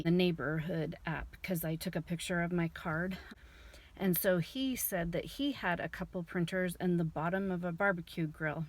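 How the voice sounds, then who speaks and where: A woman's voice: fairly low pitch, darkish tone. A middle-aged woman chatting casually in a home vlog, telling rambling stories with lots of fillers. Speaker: vlogger reselling scrap. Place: at home, garage and car.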